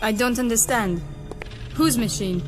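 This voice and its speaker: A woman's voice: on the high side, measured pace, lightly acted. A woman playing a questioning, disbelieving companion to a villain in an audio drama. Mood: puzzled, amazed. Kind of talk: fan audio drama acting. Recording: loud music over the voice.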